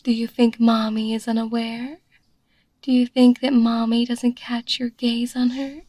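A woman playing a doting, teasing mommy girlfriend in a soft, breathy ASMR roleplay. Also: fairly high pitch, flat delivery, unhurried, dry recording. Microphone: close, dry microphone.

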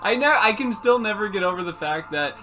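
A woman's voice: medium pitch, animated, a little fast, over music. A woman hosting a casual let's play, joking crudely and swearing freely as she chats. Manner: crude, foul-mouthed, joking. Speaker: gaming video host.

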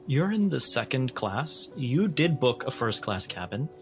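A man's voice: mid-range voice, big pitch swings, measured pace. Male game master narrating over music. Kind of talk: improvised tabletop game narration. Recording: music under the voice. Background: background music.

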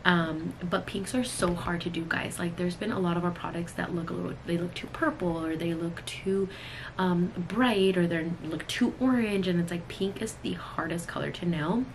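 A woman's voice: on the low side, moderate pace, rough recording. A woman in her thirties talking casually to the camera as a vlogger, chatty and addressing her viewers directly. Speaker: vlogger and cosmetics brand owner. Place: small indoor room at work. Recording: clear room noise, camera audio.

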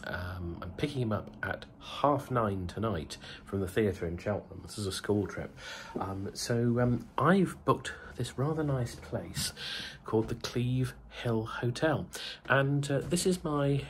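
A man with a cold, talking quietly in a hotel room in a casual vlog update, with many ums and hesitations. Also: medium pitch, lively intonation, a little slow, a little hiss or hum. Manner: speaks softly, hushed, many ums. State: bunged up with a cold. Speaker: vlogger.

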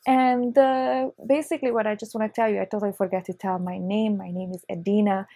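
A woman in her thirties from Hungary with a Hungarian accent, chatting excitedly through a casual unboxing vlog on a lapel mic in her living room. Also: medium pitch, fairly quick, a little hiss or hum. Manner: chatty, excitable, with short laughs. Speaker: vlogger. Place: living room on a leather couch, indoors.

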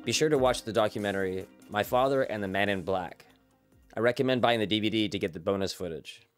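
Low-voiced man in his thirties hosting. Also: fast, faint music behind. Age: 30s. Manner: earnest, rambling with restarts. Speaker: podcast host. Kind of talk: casual interview chat. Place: small home studio with foam panels. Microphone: large studio mic on a stand, headphones.

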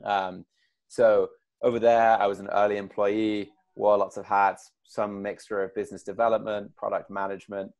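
English man in his twenties, very low voice, flat and even delivery, measured and casual. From England. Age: 20s. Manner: measured, with fillers and restarts. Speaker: startup co-founder. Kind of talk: casual interview answers.

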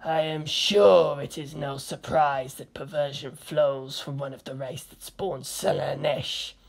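Casting: woman as bloodthirsty male dark-god warrior for an audio story, menacing, melodramatic, drawn-out delivery. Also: low-pitched, fairly even intonation, vocal fry, pitched below the performer's own voice, exaggerated voice. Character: hissing bloodthirsty male Chaos Marine figurine, ranting.

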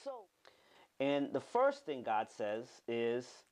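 A man in his thirties, a pastor delivering an animated online sermon from a studio, repeating words for emphasis. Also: mid-range voice, slow. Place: studio with green-screen backdrop.

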